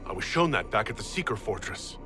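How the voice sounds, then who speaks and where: An actor playing a resolute heroic leader in a video game, speaking in an earnest, firm and commanding manner. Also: male voice, fairly low pitch, sing-song, a little fast, music in the background. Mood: serious, probing. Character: resolute heroic inquisitor confronting a villain. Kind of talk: dramatic video game acting.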